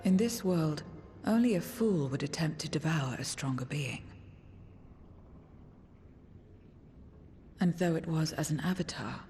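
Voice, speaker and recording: A woman's voice: low-pitched, moderate pace, warm tone, close to an everyday voice. A woman voicing an aloof, world-weary female void creature in a video game cutscene, speaking in solemn, terse phrases. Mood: guarded. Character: aloof, world-weary female voidsent returned to true form. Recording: music behind.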